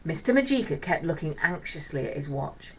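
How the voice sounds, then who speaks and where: Expressive woman reading a children's story. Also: sits low, fairly quick, slightly rough, distant mic, low-quality recording. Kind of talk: expressive children's audiobook-style narration.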